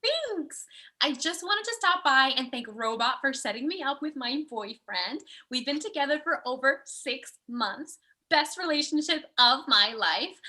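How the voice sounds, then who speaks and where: Woman playing a bubbly, piercingly bright client. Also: sits high, lively intonation, measured pace, exaggerated voice. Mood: bright, grateful. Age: young adult. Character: bubbly, grateful female matchmaking client. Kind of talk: remote table read of a comedy script. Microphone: video call.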